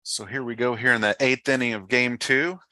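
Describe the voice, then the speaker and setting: Guy doing baseball play by play, bright voice, close dry sound, matter of fact. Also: mid-range voice, fairly even intonation, brisk, no echo. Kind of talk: live sports commentary.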